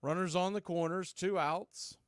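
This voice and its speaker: A man's voice: high voice, fairly even intonation, a little slow, clean tone, dry recording, studio quality. A man announcing baseball in a play-by-play commentary style.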